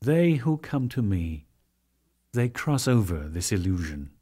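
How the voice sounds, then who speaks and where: A man gives a dramatic reading of scripture at a slow, stately pace. His delivery is solemn and declamatory, rising and falling widely in pitch, with deliberate pauses between phrases. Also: very animated, warm tone, husky. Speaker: audiobook narrator.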